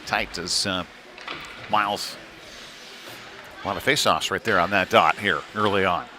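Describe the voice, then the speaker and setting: A man giving live play-by-play of youth hockey in a conversational, folksy manner, with pauses between calls. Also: sits low, steady tone. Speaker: play-by-play commentator. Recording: noisy live rink feed.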